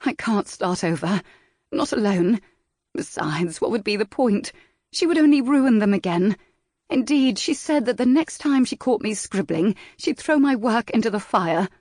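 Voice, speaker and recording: Distraught young lady companion, high raised voice, pleading, fretful, woman reading. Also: animated, normal speed, a little mellow, no room sound, clean studio sound, close to an everyday voice. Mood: despairing.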